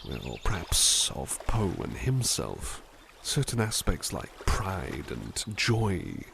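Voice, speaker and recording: A man voicing a kind-hearted, caring butler, reading poems softly and musing gently between them in a close, intimate roleplay. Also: deep voice, big pitch swings, slow pace, vocal fry, background noise, heavily acted. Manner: soft-spoken, gentle, reflective asides. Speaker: ASMR roleplay performer. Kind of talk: ASMR roleplay poetry reading.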